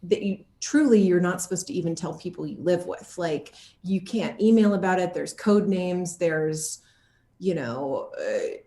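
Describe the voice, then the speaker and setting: A woman giving a casual interview as an actor, talking in an animated, playful way. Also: lowish voice, echoey room. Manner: animated, playful, acts out lines as she talks.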